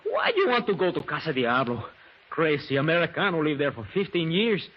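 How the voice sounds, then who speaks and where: An old, muffled radio play features an excitable Mexican bus driver. His high voice swings up and down as he offers florid courtesies in broken, Mexican-accented English. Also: a little fast. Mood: alarmed, pleading. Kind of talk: old-time radio drama acting. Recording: dull, slightly noisy old radio broadcast.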